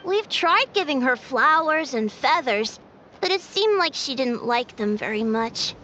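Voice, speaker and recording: Eager, animated village kid. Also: female voice, high voice, a little slow, dull audio, a little hiss or hum, heavily acted. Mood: admiring. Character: spirited young village child, eager and confident. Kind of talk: video game voice acting. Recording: dulled game audio with music. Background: game music.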